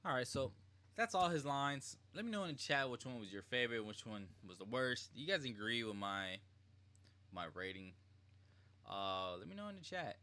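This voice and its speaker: A man's voice: mid-range voice, expressive, moderate pace, pushed and bright. A man hosting a live stream, giving informal reaction commentary and chatting to viewers. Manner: informal, addresses chat, mimics lines. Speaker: streamer, host.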